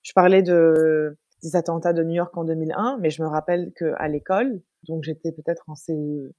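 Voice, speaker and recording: A young woman from France, a guest talking in a casual interview, reflective and thoughtful, pausing often with fillers and restarting her sentences. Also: sits low, fairly even intonation, dark tone, no room sound, clean studio sound. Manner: reflective, many hesitations and restarts.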